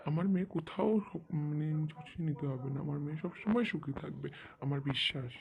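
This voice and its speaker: A man's voice: fairly high pitch, fairly quick, gravelly, narrow, tinny audio. A man voicing a doting, insistent father in an amateur cartoon dub, speaking. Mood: protective, soft. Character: doting father of a village girl, quick to scold his wife. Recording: noisy amateur home recording.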